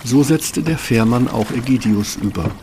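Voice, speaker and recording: A man's voice: medium pitch, moderate pace, a little mellow, a little hiss or hum. A man narrating a scripted fairy tale as storyteller. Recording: music underneath.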